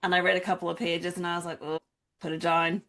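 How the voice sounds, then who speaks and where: A woman with a slightly low voice chats as a podcast co-host. She is casual and joking, telling things as stories and quoting her own reactions. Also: fast talker. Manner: animated storytelling with self-quotes, joking. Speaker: book club podcast co-host. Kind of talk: casual chat.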